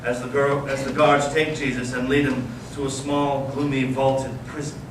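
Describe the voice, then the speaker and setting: A man's voice: mid-range voice, level tone, normal speed, lightly acted. Man acting intense intellectual brother, echoey stage. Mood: hushed storytelling. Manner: dramatic, swinging from hushed to impassioned. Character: Ivan, intense intellectual elder brother, anguished and mocking. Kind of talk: staged readers theater monologue and storytelling. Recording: echoey stage, distant mic, clear noise.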